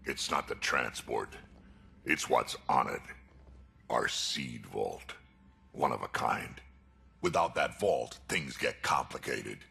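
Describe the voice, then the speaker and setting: Gruff alien warrior, rumbling fry, blunt threats. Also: male voice, lively intonation, slow, sharp tone, heavily acted. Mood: determined. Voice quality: deep gravelly voice. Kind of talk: video game dialogue.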